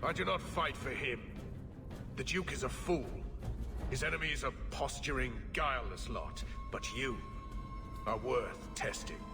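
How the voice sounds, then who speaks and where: An actor playing a proud, contemptuous bodyguard with a gravelly voice, menacing and deliberate, in a video game. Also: male voice, medium pitch, in a large hall, music in the background, lightly acted. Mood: menacing disdain.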